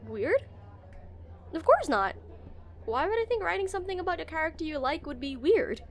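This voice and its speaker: A woman's voice: very high voice, big pitch swings, normal speed, full character voice. A woman playing an awkward, shy female game developer on a dinner date in a romantic audio roleplay, flustered and hesitant. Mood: gentle, curious. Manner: flustered, hesitant, stammering, giggly.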